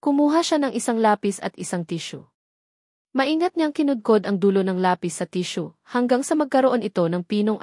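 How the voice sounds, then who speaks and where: A woman's voice: medium pitch, darkish tone, no room sound, clean studio sound. A woman with a Filipino accent narrating a dramatic story in the first person.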